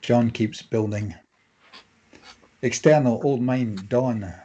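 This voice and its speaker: A man reads scripted stage directions in measured, clipped phrases. His voice is dark and mellow with a husky grain, at an average male pitch. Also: highs cut off. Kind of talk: scripted narration of screenplay directions.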